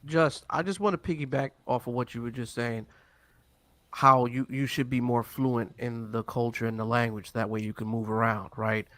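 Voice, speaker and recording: A man's voice: mid-range voice, steady tone, normal speed, a bit bright, no room sound. A man in a casual discussion, agreeing and building on what others just said. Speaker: guest.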